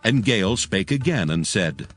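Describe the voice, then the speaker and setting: A man's voice: on the low side, very animated, measured pace. A man narrating a dramatized reading of scripture, with music behind him.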